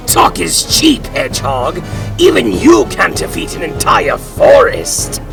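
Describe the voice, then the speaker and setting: A man playing a gloating, theatrical mad scientist villain in an audio drama, with a gravelly voice. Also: high voice, huge pitch swings, slow pace, recorded from a distance, over music, exaggerated voice. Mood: mocking.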